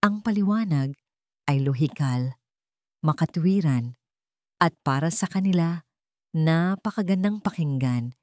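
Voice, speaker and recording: A female narrator delivers a scripted dramatic story. Her voice sits low and is mellow in tone. She phrases deliberately, in short dramatic pieces, with a close, dry sound. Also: animated, no echo.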